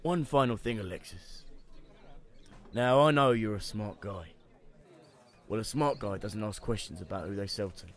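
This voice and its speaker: A man's voice: fairly low pitch, moderate pace, long pauses, lightly acted. A man acting a smug, menacing henchman with oily mock politeness in a radio drama.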